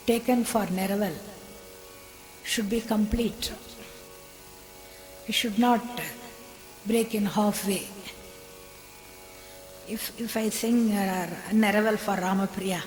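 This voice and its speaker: A woman's voice: medium pitch, darkish tone. Woman lecturing in a reverberant hall. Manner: explanatory, switches between English and Tamil. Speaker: music teacher giving a lecture-demonstration. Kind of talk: lecture-demonstration on classical singing. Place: concert hall. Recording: long hall reverb, faint music behind.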